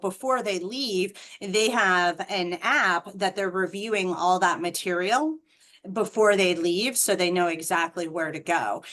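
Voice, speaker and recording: A woman's voice: medium pitch, fairly bright tone. A middle-aged woman with a Boston accent, a franchise representative explaining the business on a video call, chatty with frequent ums and restarts.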